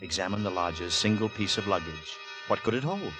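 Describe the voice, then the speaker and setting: An actor narrating a Victorian horror tale in a grave, measured, dramatic 1940s radio suspense style. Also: male voice, sits low, lively intonation. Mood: brooding, suspenseful. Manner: measured, dramatic, brooding delivery. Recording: vintage 1940s radio recording with music.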